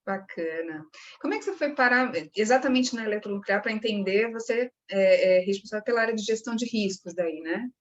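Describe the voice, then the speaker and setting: A woman's voice: mid-range voice, fairly quick, lo-fi sound. A woman with a Brazilian Portuguese accent hosting a podcast, chatty and enthusiastic. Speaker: podcast host.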